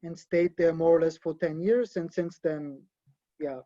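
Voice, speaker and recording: Mellow-voiced man from Bulgaria. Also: a little high, fairly even intonation, moderate pace. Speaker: panel guest. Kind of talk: casual panel talk. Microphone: video call. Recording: dry, dull lo-fi call audio.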